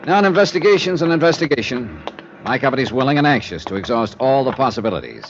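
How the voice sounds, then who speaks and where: Hard-boiled insurance investigator, wry, clipped, old radio drama, muffled recording with light hiss. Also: male voice, mid-range voice, very animated. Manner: dry, wisecracking, brisk clipped delivery. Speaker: narrator and lead character. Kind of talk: 1950s radio drama acting.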